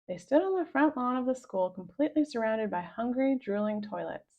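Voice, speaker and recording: A woman reading aloud a children's story with expressive scripted narration, on a slightly dull recording. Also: on the high side, a little fast. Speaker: storybook reader.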